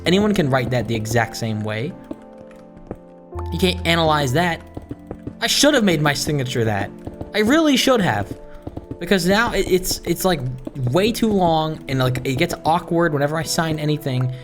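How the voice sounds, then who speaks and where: A gaming video host giving casual, rambling commentary in a self-deprecating, ranting way that wanders from one thought to the next. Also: mid-range voice.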